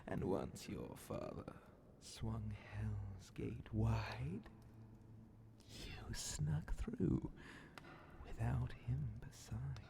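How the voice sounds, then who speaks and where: A man voicing a sly, menacing demon Grand Vizier of hell in a breathy, theatrical half-whisper for an ASMR horror fantasy roleplay. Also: on the low side, lively intonation, noisy background, exaggerated voice. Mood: suspicious, probing. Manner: slow, theatrical, drawn-out, mockingly polite.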